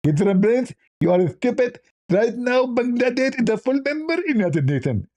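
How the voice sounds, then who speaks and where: Man putting on a high-pitched indignant debater. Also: sing-song, darkish tone. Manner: repeats stock phrases, halting and argumentative.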